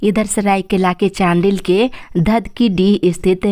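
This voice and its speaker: A woman's voice: medium pitch, fairly even intonation, a little slow, a little mellow, no room sound, studio quality. A woman with an Indian accent reading scripted news headlines as a presenter in a formal bulletin manner.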